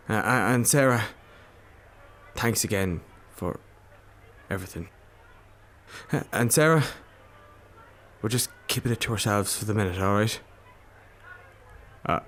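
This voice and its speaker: A man playing a weary, calming, plain-spoken Irish father in a naturalistic radio drama. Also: on the low side.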